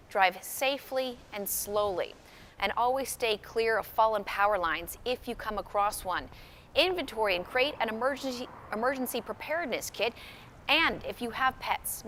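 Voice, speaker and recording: A woman's voice: medium pitch, a bit bright, a little hiss or hum. A woman anchoring a television newscast, reading scripted news in a presentational way.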